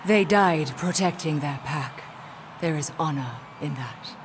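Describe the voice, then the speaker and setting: A woman's voice: deep voice, unhurried, background noise. A woman playing a fierce, haughty alien warrior queen in a video game, commanding and theatrical. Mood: solemn. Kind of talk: video game cutscene acting.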